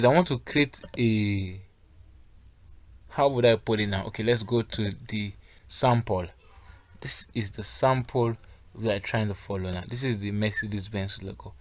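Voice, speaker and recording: A man's voice: mid-range voice, a bit bright, dry recording. Male design tutor walking through steps. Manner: instructional, repeatedly checking that learners follow. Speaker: graphic design instructor. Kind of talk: step-by-step software tutorial.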